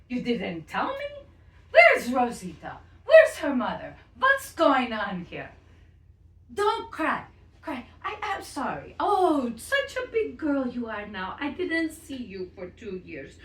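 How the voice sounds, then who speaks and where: A woman's voice: on the high side, echoey room, distant mic, pitched above the performer's own voice. Woman playing a fretful old grandmother. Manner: anxious, exclaiming, repeats herself.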